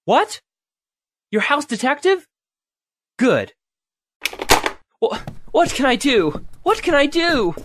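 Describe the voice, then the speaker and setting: An earnest young male reporter in an audio adventure, acted by a man. He is excitable, with big swings in pitch. A faint noise sits behind the voice. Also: takes it slowly, pauses often, exaggerated voice. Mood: alarmed. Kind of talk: audio drama acting.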